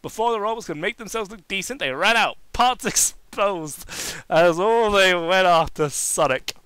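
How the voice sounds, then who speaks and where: A man giving a theatrical, halting amateur dramatic reading of comic fan fiction. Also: sits high, a bit bright, clear, resonant tone, no echo, rough recording.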